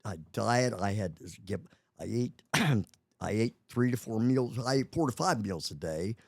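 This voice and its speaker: A man's voice: lowish voice, expressive, measured pace, vocal fry, no echo, clean studio sound. A man in his eighties, a guest in a casual interview, talking with many restarts and chuckling often. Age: 80s.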